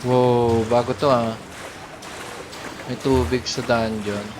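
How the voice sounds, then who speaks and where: A man's voice: mid-range voice, level tone, takes it slowly, noisy background. A man with a Filipino accent giving casual, offhand gameplay commentary in short reactions over video game music. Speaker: gaming streamer. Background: video game music and sounds.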